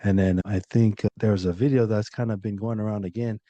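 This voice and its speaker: A man hosting a podcast interview, chatting casually with lots of fillers in a relaxed, laid-back way. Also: fairly low pitch, brisk, dry recording, highs cut off. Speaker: podcast host.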